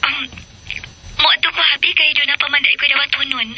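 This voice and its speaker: A woman's voice: sits high, little pitch movement, a little fast, very noisy, close to an everyday voice. A woman playing a polite, troubled young wife and mother in a Filipino radio soap. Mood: evasive. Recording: music bed under radio drama.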